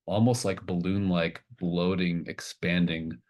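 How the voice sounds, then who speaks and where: A man narrating a tabletop horror game as game master, improvising in casual, halting phrases. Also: low-pitched, flat delivery, a little mellow.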